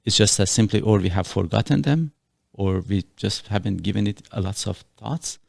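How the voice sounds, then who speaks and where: Middle-aged podcast host from Iran with a very deep, gravelly voice. He rambles earnestly through his explanations, full of fillers, in a dry close recording. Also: moderate pace, dry recording. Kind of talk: casual podcast chat.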